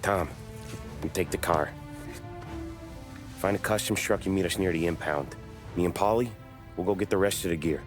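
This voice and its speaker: An actor playing a gruff, cautious American gangster who scolds bluntly in slang, in a crime game cutscene. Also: male voice, deep voice, moderate pace, long pauses, music in the background, natural voice. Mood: businesslike. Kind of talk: video game cutscene acting.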